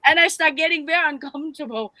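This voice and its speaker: A Vietnamese-born woman speaking English with a Vietnamese accent, telling animated stories as a guest in broken English. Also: high voice, fast, dry recording. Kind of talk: casual storytelling chat.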